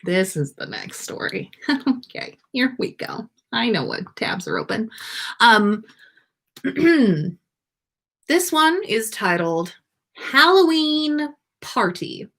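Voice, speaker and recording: An enthusiastic woman reads stories aloud as a live host. Her pitch moves in lively swings, and she takes her time over the telling. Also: takes it slowly, gravelly, pauses often. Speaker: podcast host and story reader. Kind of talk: animated live storytelling.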